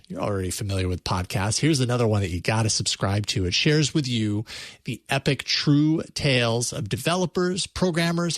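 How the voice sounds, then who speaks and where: A man hosting a tech podcast in a casual, conversational way, with halting phrasing. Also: mid-range voice, fairly bright tone, dry recording, studio quality. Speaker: podcast host.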